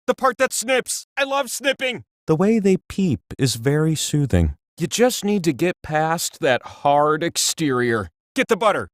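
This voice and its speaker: Quirky video game quiz host: high-pitched, theatrical, playful, opinionated, with very animated inflection. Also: male voice, dry recording, studio quality. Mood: playful, quizzing. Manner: theatrical, playful, with exasperated asides. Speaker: game show host character. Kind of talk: quiz hint narration for a party video game.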